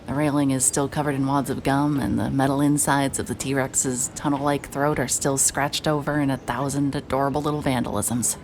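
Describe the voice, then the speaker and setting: Low-voiced, hard-boiled female detective. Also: little pitch movement, moderate pace, hardly any pauses, background noise. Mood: wry, weary. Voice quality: low-pitched voice. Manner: dry, sardonic, blunt. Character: hard-boiled, wry, irritable female private investigator. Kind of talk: cinematic audio drama, noir narration and naturalistic dialogue.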